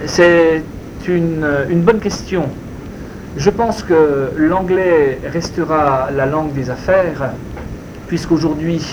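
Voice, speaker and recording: Man, native Spanish speaker, interviewee; measured and very unhurried, pausing often, with constant noise in the background. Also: fairly high pitch. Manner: slow, deliberate, hesitant with frequent fillers. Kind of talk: answering interview questions.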